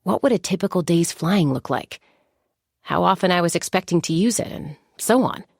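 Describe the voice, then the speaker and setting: An actress playing a composed, confident young warrior woman in a video game, speaking in a measured, articulate and reflective way. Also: lowish voice, lively intonation, creaky, darkish tone, dry recording, clean studio sound. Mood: wry, fond. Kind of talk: scripted game character monologue.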